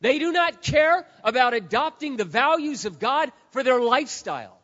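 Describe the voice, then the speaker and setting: A man preaching a sermon as pastor, hoarse, swinging from quiet earnest talk to loud impassioned shouting. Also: extremely high pitch, expressive, moderate pace. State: hoarse, says he has lost his voice. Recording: dull sound with long hall reverb.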